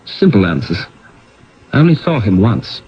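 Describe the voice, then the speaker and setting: A man's voice: on the low side, big pitch swings, normal speed, husky. An actor playing an earnest, inquisitive male newspaper writer in a 1970s radio drama. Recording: dull, noisy vintage radio recording.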